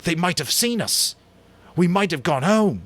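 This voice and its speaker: An angry young boy chief throws loud accusations, as a man acts him out. The voice sits high and swings widely, bitter and hard, in a storybook reading. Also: animated, a little slow, heavily acted. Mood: accusing.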